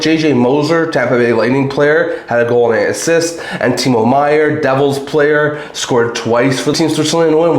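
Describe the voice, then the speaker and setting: Slightly raspy man in an echoey room. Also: medium pitch. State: a little sick. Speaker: hockey channel host. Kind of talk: sports news commentary to camera or microphone. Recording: roomy, echoing home recording.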